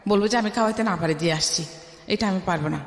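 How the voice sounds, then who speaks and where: A woman's voice: medium pitch, quick pace. A middle-aged woman with a Bangladeshi accent tells her life story as a radio interview guest, emphatically, acting out remembered dialogue. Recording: echoey room with long reverb.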